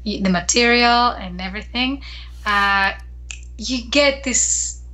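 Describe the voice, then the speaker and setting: A woman from Greece, a professional singer, chatting in an interview and often pausing and restarting mid-sentence. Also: a little high, pushed and bright. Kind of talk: casual interview chat.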